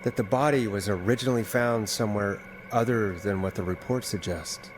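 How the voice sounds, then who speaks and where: Actor as low-voiced, musing radio host sleuth. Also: male voice, noisy background, lightly acted. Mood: puzzled, investigative. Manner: conversational, musing, measured. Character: thoughtful, puzzled male radio host turned amateur investigator. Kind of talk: video game dialogue.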